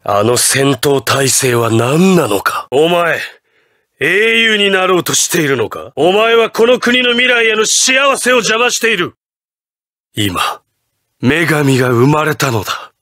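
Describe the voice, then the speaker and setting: An actor playing an imperious, ruthless patriotic president in a Japanese anime fighting game, with grandiose, theatrical declarations. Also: male voice, fairly high pitch, very animated, unhurried, pushed and bright, no echo, studio quality, heavily acted. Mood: cold, menacing.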